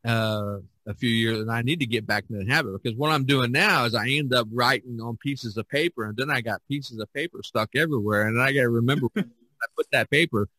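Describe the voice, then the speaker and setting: A middle-aged man chatting casually as a podcast host, rambling and halting as he searches for words. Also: mid-range voice, pushed and bright, dry recording, low-quality recording. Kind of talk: casual chat.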